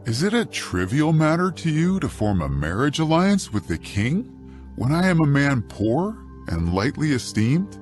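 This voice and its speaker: A man's voice: a little high, very animated, a little slow, darkish tone, faint music behind. An actor playing a humble, earnest young man who is modest before his king, in a dramatized scripture audio drama. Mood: modest, questioning.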